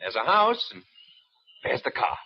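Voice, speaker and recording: A man's voice: mid-range voice, huge pitch swings, a little slow, full character voice. An actor playing a surly, wisecracking taxi driver in a 1940s radio drama, with slangy tough-guy talk. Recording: muffled 1940s radio broadcast with faint hiss.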